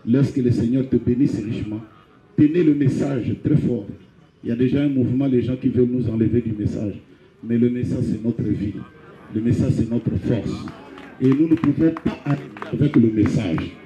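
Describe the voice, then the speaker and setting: A middle-aged Congolese pastor preaching a funeral sermon, telling a parable and lifting his pitch on key phrases. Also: moderate pace, warm tone, reverberant, poor audio. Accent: Congolese French.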